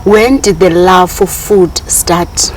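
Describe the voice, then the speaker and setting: A woman's voice: fairly low pitch, slow pace, slight background noise, rough recording. A woman with a South African accent hosting a casual interview, chatty and friendly. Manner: chatty, friendly, laughs easily. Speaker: host.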